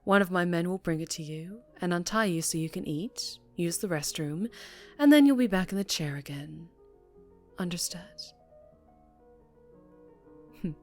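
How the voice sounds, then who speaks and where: A woman playing a ruthless, teasing mafia boss in an ASMR roleplay, commanding and condescending, often dropping into breathy murmurs. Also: lowish voice, animated, moderate pace, darkish tone, soft background music. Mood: teasing, dominant.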